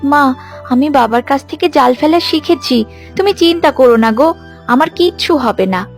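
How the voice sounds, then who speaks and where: A woman plays a plucky young girl from a poor village in a cartoon. The voice is high, earnest and resolute, over a light music bed. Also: expressive, normal speed. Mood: determined. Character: brave, earnest young daughter of poor fisherman. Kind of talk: cartoon dialogue.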